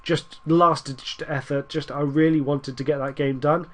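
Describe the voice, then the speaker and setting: Young man, stuffy cold-blocked nose, slightly high voice, rambling casual game commentary. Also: steady tone, moderate pace, a bit bright. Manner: rambling, restarts, urges his team on. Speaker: gaming YouTuber.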